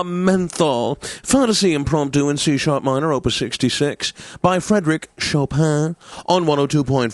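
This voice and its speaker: Male game role, acted: affected, jovial classical station DJ, pompous and theatrical, mid-pitched, close dry sound. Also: dry recording, close to an everyday voice. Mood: smug, pleased. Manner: pompous, snobbish, theatrical, flowery diction. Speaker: radio DJ. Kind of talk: classical radio announcing, theatrical game voice acting.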